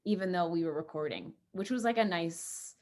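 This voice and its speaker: A woman's voice: on the low side, moderate pace, a little mellow. A woman, an actress, reflecting tentatively in a casual group discussion.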